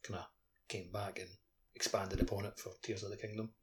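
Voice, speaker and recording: A man's voice: fairly low pitch, flat delivery, a little fast, slight background noise. A man co-hosting a podcast with casual game chat, using a lot of filler words like "like". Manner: peppers sentences with "like". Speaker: podcast co-host.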